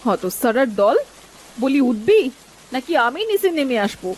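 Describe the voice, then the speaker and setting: A woman's voice: sits high, normal speed, low-quality recording. A woman playing a worried, scolding village wife and mother in a cartoon, with rain heard behind her. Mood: angry scolding. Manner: nagging, exasperated. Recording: steady rain noise behind voice.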